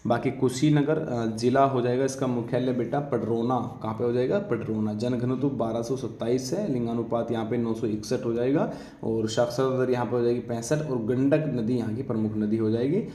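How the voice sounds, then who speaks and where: A man's voice: mid-range voice, steady tone, normal speed, mellow. A man giving an informal exam-prep lecture, instructing students with frequent check-in tags and questions he answers himself. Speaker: exam coaching teacher. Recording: echoey room.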